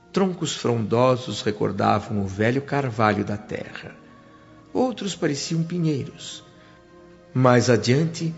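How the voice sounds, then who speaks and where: A male actor plays an earnest, wondering newcomer to the spirit world, with a Brazilian accent. He narrates in a reflective, literary radio-drama style, expressive and heartfelt. The sound is slightly muffled, with music behind him. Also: mid-range voice. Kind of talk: radio drama acting and first-person narration.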